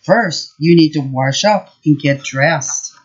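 A woman voicing a patient, reassuring mother mouse in a picture-book story, in a gentle, instructive way. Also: sits low, slow, breathy edge, lo-fi sound, heavily acted.

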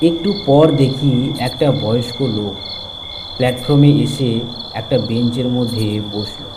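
A man's voice: mid-range voice, moderate pace, background noise, close to an everyday voice. A man narrating a first-person ghost story as a young vlogger, in a scripted storytelling style. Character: young male vlogger telling his ghostly tale. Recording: night insect ambience over voice.

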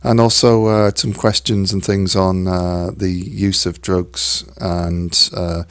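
Hoarse, low-voiced man hosting a podcast. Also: no room sound. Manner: halting, many fillers and restarts. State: hoarse, croaky from a cold. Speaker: podcast host. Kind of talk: casual solo podcast talk.

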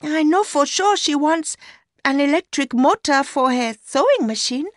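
Woman voicing a high-pitched, meek young woman. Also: animated, measured pace, no room sound, studio quality. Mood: anxious, pleading. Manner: meek, hesitant, softly stubborn. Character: timid young woman, rich older man's secret lover. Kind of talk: acted dialogue in audiobook story.

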